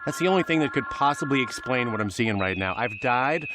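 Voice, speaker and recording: A man's voice: mid-range voice, animated, a little fast, noisy background. A man giving joking commentary over a film, sardonic and mock-bewildered.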